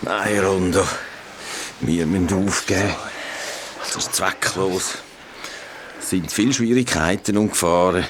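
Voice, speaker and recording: An actor with a Swiss German accent playing a weary, kindly elder who speaks in a resigned, solemn way in a radio play. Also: male voice, low voice, a little slow, pauses often, noisy background.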